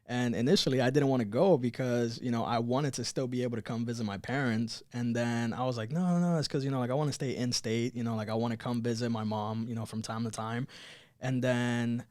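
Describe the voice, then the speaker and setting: A man in his twenties, a podcast guest born in Cuba and raised in Miami, telling stories in a relaxed, rambling way with many fillers. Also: medium pitch, brisk, dry recording, clean studio sound. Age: 20s. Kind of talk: casual chat, telling stories.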